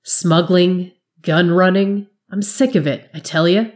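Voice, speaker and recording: A woman's voice: fairly low pitch, measured pace, close to an everyday voice. A woman voicing a weary, moody male smuggler who is sick of his work. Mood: morose. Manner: morose, flat.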